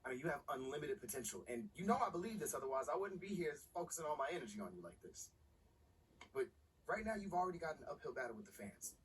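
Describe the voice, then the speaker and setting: A man's voice: a little high, sing-song, fast, fairly bright tone. A male actor playing a pushy, scheming sports agent in a video game cutscene, persuading in emphatic slang. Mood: scheming, insistent. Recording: noisy, distant game capture.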